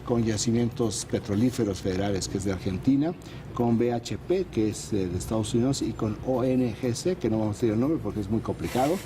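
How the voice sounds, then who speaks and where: A man's voice: lowish voice, noisy background. Man presenting political analysis. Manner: measured, explanatory, with frequent hesitations. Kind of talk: panel talk show.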